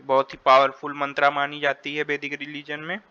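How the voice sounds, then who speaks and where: A man's voice: a little high, completely flat delivery, fairly quick, bright, forceful tone, clear, resonant tone, muffled recording. A man with an Indian accent teaching an exam quiz, reading questions and options, then repeating and rephrasing them as he mixes Hindi with English. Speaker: exam tutor. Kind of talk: quiz lesson, reading questions and explaining answers.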